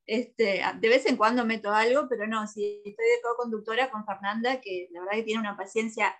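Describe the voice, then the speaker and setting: A woman's voice: fairly high pitch, steady tone, a little fast, fairly bright tone. A woman with a Uruguayan accent, a radio co-host and comedian, chatting casually as the guest in a radio interview.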